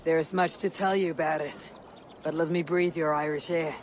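Casting: actress as husky, low-voiced Viking warrior woman, plain-spoken and steady, game cutscene with noisy ambience. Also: normal speed, natural voice. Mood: warm, curious. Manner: terse, plain-spoken, self-assured. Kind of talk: video game cutscene acting. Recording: outdoor ambience noise behind the voice.

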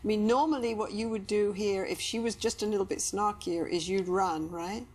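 A woman's voice: mid-range voice, steady tone, normal speed, a little mellow. A middle-aged woman, guiding a couples therapy conversation with questions and example phrases. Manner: coaching, asks questions and acts out example lines. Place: television-style studio set with sofas.

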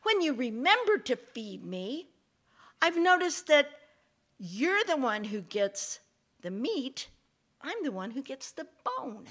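An indignant farm dog in a storybook fable, acted by a woman, airing grievances with bitter sarcasm. The delivery is high, bright and full of exasperated swings. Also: sing-song, slow pace, heavily acted.

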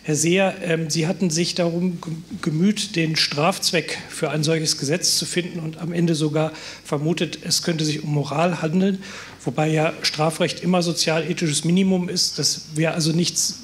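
A man asking a panel formal, detailed questions from the audience in a polite, articulate way. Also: a little high. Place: conference hall audience.

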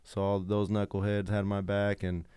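A man's voice: fairly low pitch, completely flat delivery, normal speed, a little mellow, smooth, dry recording. A man of thirty from South Central Los Angeles, hosting a podcast in a small studio, telling stories animatedly and swearing freely. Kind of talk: casual podcast chat. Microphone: boom mic on arm.

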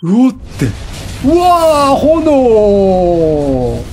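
High-pitched, animated male gamer. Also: a little hiss or hum. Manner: exclamatory, repeats words rapidly in reaction. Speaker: let's play gamer. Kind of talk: excited game commentary. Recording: game music behind the voice. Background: video game music.

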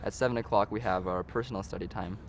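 A man's voice: on the low side, steady tone, fast, faint music behind. A young man from Utah, a missionary, speaking sincerely with small hesitations in an interview. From Utah, USA. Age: young adult.

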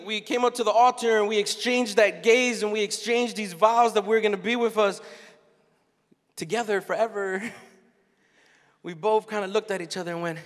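A man in his thirties giving an animated, story-filled keynote talk to a youth conference crowd on a handheld mic. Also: sits high. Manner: animated, storytelling, acts out quotes. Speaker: keynote speaker. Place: large dark arena stage before a young crowd. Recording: long hall reverb.

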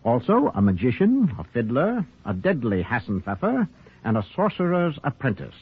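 Male vintage radio drama host, wry, theatrical, husky, animated scripted delivery. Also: mid-range voice, normal speed. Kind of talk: 1940s radio announcing, scripted. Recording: narrow-band vintage radio recording.

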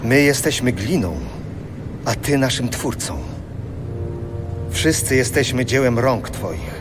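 An actor playing a solemn prophet pleading with God in a dramatized audio Bible. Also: male voice, mid-range voice, animated, slow. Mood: humble lament. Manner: solemn, beseeching delivery. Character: pleading prophet lamenting his people's sins to God. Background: music.

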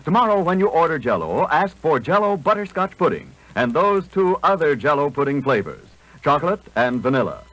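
Casting: man, vintage radio pitchman, lively and polished, slightly high voice. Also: brisk, low-quality recording. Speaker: radio announcer. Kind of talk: 1940s radio ad read, polished and enthusiastic. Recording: vintage 1940s radio broadcast.

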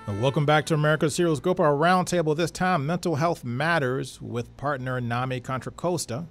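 A middle-aged man introducing a show as co-host, speaking somewhat haltingly into a close studio microphone. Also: a little high, soft background music. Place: small studio, indoors. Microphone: close studio microphone on arm.

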